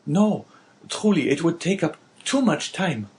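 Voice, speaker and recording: A man voicing a bitter, rough innkeeper in an audiobook, speaking in a brooding, earnest way. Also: a little high, big pitch swings, unhurried, a little mellow, pitched above the performer's own voice. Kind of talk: audiobook character voice.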